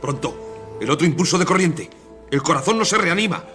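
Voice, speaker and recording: A man's voice: fairly high pitch, animated, a little fast, over music, natural voice. An actor playing an urgent male operating-room medic calling out orders in a radio drama.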